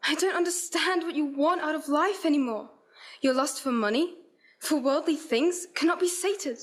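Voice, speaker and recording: A woman's voice: sits high, normal speed, natural voice. A woman playing a heartbroken but resolute young fiancée in a radio play, speaking earnest, formal period lines. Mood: reproachful. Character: Belle, heartbroken, resolute young fiancée releasing her betrothed.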